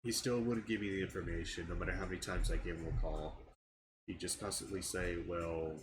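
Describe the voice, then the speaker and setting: A young man with a stuffy nose, talking in a casual vlog about his frustrations, halting and restarting his words. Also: low-pitched, fairly even intonation, noisy background. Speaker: channel owner giving an update. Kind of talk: casual personal vlog rant.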